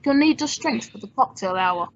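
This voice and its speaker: A woman playing a suave, wry gentleman sleuth, polished and teasing, in an old-time radio detective drama. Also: on the high side, expressive, normal speed, slightly rough, lo-fi sound. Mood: cool, polite.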